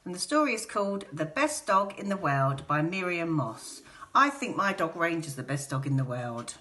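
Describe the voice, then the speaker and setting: A woman's voice: fairly low pitch, big pitch swings, normal speed, a bit bright. A woman teacher reading a picture book aloud to young children, telling the story expressively and dramatically. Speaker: infant school teacher.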